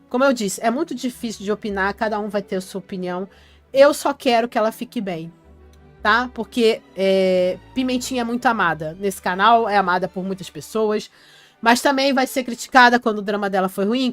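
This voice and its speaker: A woman hosting a casual live stream, reading viewers' comments aloud and answering them in a chatty way. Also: medium pitch.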